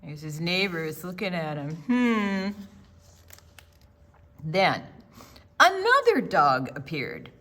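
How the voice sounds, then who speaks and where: An older woman reading aloud to young children, deliberate and expressive, with chatty asides and questions to her listeners. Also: lowish voice. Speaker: children's storytime reader.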